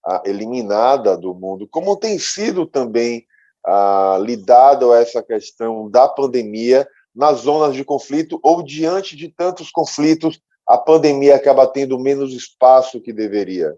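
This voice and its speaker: A man's voice: medium pitch, unhurried, warm tone. A man with a Brazilian accent hosting an interview in a conversational style, on a muffled recording. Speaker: interviewer, host. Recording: muffled, dull lo-fi audio.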